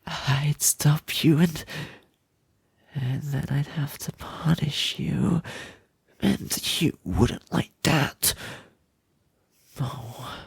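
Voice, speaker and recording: Unhinged, doting male kidnapper played by a man for ASMR roleplay: gravelly, close and dry, sadistic, sweetly menacing. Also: a little high, dry recording, exaggerated voice. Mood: threatening, coaxing. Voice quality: breathy, husky, often dropping into whisper. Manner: halting, drawn-out, sweetly menacing delivery.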